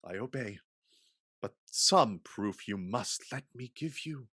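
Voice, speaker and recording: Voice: medium pitch, expressive, takes it slowly, sharp tone, slightly rough, no room sound, exaggerated voice. An actor playing a swaggering, declamatory male suitor, a blustering knight in a Restoration comedy. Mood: ardent. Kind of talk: theatrical Restoration comedy reading.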